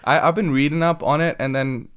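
A man's voice: fairly high pitch, steady tone, brisk, a bit bright, smooth. A man guesting on a podcast, explaining at length in casual chat, restarting sentences and leaning on fillers.

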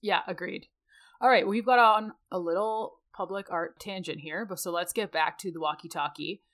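A woman's voice: fairly low pitch, moderate pace, a bit bright. A woman with a Canadian accent co-hosting a podcast, explaining things in a casual, conversational way. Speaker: podcast co-host; mechanical engineer.

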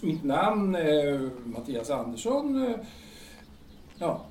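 A man's voice: a little high, sing-song, darkish tone. A man playing an earnest, nervous passer-by in an audio drama, hesitant and pleading, stammering as he presses on. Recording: roomy, distant mic with noise.

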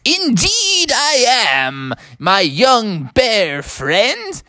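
A woman's voice: a little high, huge pitch swings, drawn-out words, pushed and bright, dry recording, studio quality, pitched above the performer's own voice, exaggerated voice. A woman voicing an old male owl wizard, grandly solemn and impatient, in a bedtime story.

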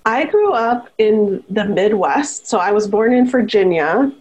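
A woman's voice: mid-range voice, very animated, measured pace, darkish tone, low-quality recording. A woman from the Chicago area, a personal growth coach, giving easygoing, short answers as a guest on a podcast. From Northern Illinois, Chicago area. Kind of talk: casual interview chat.

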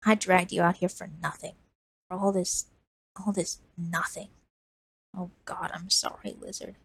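A woman's voice: lowish voice, fairly even intonation, a little slow, vocal fry, slightly rough, no room sound, exaggerated voice. A woman voicing a wheezing dying old crone. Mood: weak. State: wheezing and coughing. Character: dying old woman wanderer, weary and remorseful.

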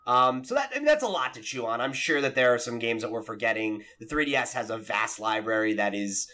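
A man's voice: mid-range voice, animated, fairly quick, bright, forceful tone. A man hosting a casual gaming podcast in a conversational, chatty way. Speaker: podcast host.

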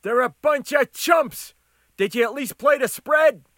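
A man voicing a scrappy, slangy, grumbling diner loudmouth with a brash, shouted delivery in an audiobook. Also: extremely high pitch, a little slow, no room sound, studio quality, voice pushed up, full character voice. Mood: indignant, loud.

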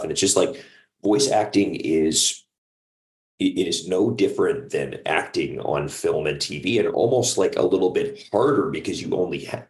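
Deep-voiced man chatting casually. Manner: conversational, seeks agreement with "right?". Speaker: voice actor, podcast guest. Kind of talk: casual interview chat.

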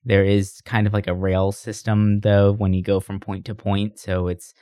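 Male podcast co-host, lowish voice, dry close sound, informal and rambling gamer talk. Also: flat delivery, normal speed, warm tone, dry recording. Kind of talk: casual gaming chat.

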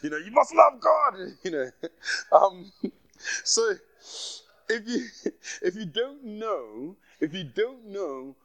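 Man, from Nigeria, guest preacher, sermon with testimony, passionate, repeats himself, fairly high voice. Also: very animated, takes it slowly, pauses often, fairly bright tone.

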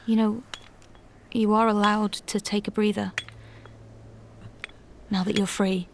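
Audio drama villager: caring and halting, mid-pitched, natural delivery over faint ambient noise. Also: female voice, level tone. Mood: gentle, concerned. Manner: tentative, halting, kindly. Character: gentle, awkward, caring village woman in wartime aftermath.

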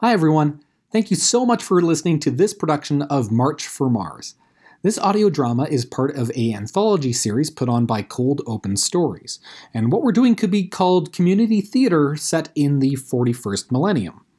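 An audio drama host delivering a scripted outro. The voice is mid-pitched and husky, lively in intonation, with a wry, conversational touch. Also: moderate pace.

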